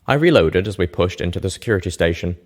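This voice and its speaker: A man narrating a first-person fantasy story from a script, measured and expressive. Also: low-pitched. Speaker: narrator of a fan fiction reading show.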